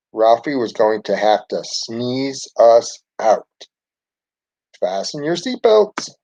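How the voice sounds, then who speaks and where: A man reading a picture book aloud for children in an expressive storytelling style. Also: medium pitch, dry recording. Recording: dull lo-fi home recording.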